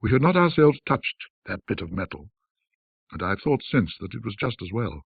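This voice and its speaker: A man with a fairly low, husky voice narrates a classic ghost story. His delivery is measured and formal, in an old-fashioned literary manner. The recording is narrow and muffled. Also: very animated. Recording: muffled band-limited audio, roomy echo.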